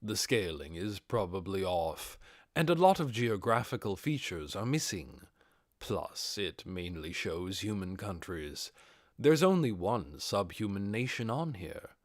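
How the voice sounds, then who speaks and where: Male reader as fantasy audiobook undead overlord: low, measured, commanding, explanatory. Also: very animated, no echo, studio quality, full character voice. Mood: measured, explaining.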